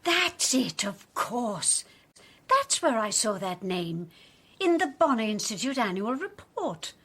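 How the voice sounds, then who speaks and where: A woman acting in a British radio drama as a sharp, inquisitive helper in an investigation. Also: on the high side, big pitch swings, slow, slightly rough, exaggerated voice.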